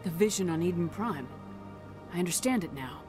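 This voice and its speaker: A woman voices a principled space-navy commander in a game. Her lowish voice is firm, measured and direct, with music playing clearly underneath. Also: lively intonation, lightly acted. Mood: serious, urgent. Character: resolute female military commander, principled and direct. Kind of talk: video game cutscene acting.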